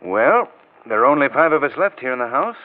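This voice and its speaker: A man playing a shrewd, composed gentleman sleuth with an urbane, dry manner in a 1940s radio drama. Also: medium pitch, big pitch swings, fairly quick, close to an everyday voice. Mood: dry, thoughtful. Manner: urbane, dryly amused. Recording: muffled old-time radio recording.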